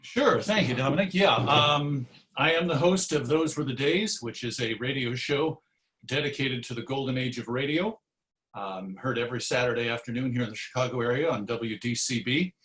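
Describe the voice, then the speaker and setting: A man who hosts radio, answering interview questions conversationally in a reflective, measured way with pauses mid-thought. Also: mid-range voice, a bit bright, low-quality recording. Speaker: interview guest, radio show host. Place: recorded virtual session.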